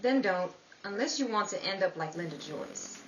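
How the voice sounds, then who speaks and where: Actress as jaded sister narrator, medium female pitch, wry, fatalistic, 1930s radio noir, distant mic. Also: animated, normal speed, natural voice. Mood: curt, guarded. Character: world-weary, guarded sister and manager, narrating grimly. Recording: dull, roomy, distant mic, faint noise.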